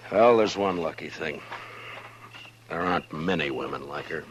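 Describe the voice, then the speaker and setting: An American actor playing a stern, world-weary frontier marshal in a 1950s radio western, gruff, terse and commanding. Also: male voice, very deep voice, normal speed. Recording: muffled, noisy old radio broadcast.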